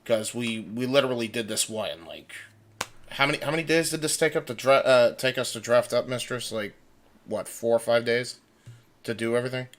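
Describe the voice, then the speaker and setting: Mid-pitched man in casual, agreeable chat. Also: brisk. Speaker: voice actor on a livestream.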